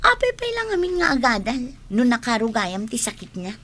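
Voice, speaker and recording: A woman's voice: on the high side, sing-song, normal speed, fairly bright tone, a little hiss or hum. A woman playing an envious, argumentative young classmate in a radio drama, challenging and complaining as she talks. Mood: challenging, scornful.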